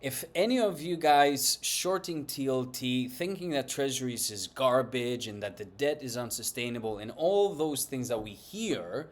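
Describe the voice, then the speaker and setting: A man's voice: medium pitch, expressive, moderate pace, few pauses. Emphatic man with a Greek accent. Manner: emphatic and lecturing, with many tag questions and taunts. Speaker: finance channel host. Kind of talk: opinionated market commentary to camera.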